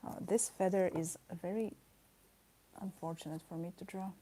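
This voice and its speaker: A woman's voice: fairly low pitch, steady tone, normal speed, dark tone, a little hiss or hum. A woman livestreaming while she draws, chatting casually with her viewers. She rambles in playful asides, laughs often and teases her chat with mock indignation. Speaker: livestreaming artist.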